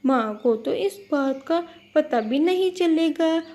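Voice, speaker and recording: Woman playing a high-voiced sly little boy sparrow. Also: lively intonation, slow pace, warm tone. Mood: gleeful scheming. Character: mischievous young boy sparrow, sly and wheedling. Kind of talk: children's cartoon story acting.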